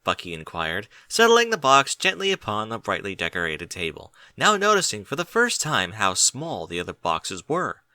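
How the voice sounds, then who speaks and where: Animated, bright-voiced male story narrator. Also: fairly low pitch, moderate pace, hardly any pauses, no echo. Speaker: audiobook narrator. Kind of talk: expressive scripted story narration.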